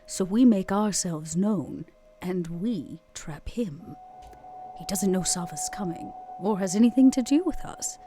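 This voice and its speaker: Woman playing a sly, mellow vampire schemer. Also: mid-range voice, expressive, measured pace, a little hiss or hum, close to an everyday voice. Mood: sly, dry. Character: sly, coolly scheming female vampire named Vera.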